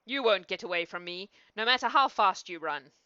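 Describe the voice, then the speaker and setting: A woman's voice: medium pitch, animated, fairly quick, sharp tone, clean tone, dry recording, muffled recording. A woman voicing a huge, evil old man in a storybook, with a threatening, domineering manner. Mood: menacing.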